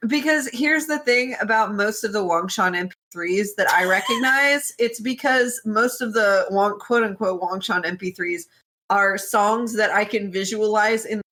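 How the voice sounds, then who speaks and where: A woman with a bright, forceful voice at a middle pitch. She talks in a casual, jokey podcast style, leaning hard on her words and swearing freely. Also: moderate pace. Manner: profane, jokey, emphatic. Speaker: podcast panelist. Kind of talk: casual fan podcast chat.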